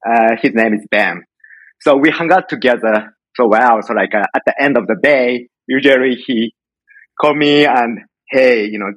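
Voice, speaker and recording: Male interview guest, Japanese accent, mid-range pitch, halting casual storyteller, dry audio. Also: a bit bright, no room sound, poor audio. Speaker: podcast guest, home brewer.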